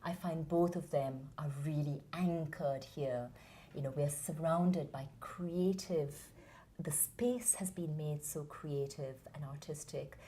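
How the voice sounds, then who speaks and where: A woman with a low, mellow voice answers interview questions in an earnest, measured way. She speaks with deep conviction, and faint ambient noise hums behind her. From India. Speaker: interview guest, founder of a theatre academy.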